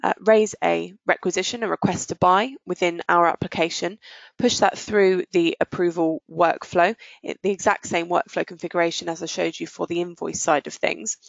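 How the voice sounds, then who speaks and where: A woman presenting a software demo and answering questions as a webinar host, explaining things in business-like phrasing. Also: fairly low pitch, creaky, dry recording, muffled recording.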